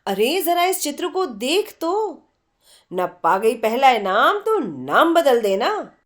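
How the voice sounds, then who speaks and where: Storybook role: playful, insistent young art-student woman, done by a female narrator, high voice, leisurely pace. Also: sing-song, slow, heavily acted. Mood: excited, insistent. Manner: insistent, exclamatory, teasing.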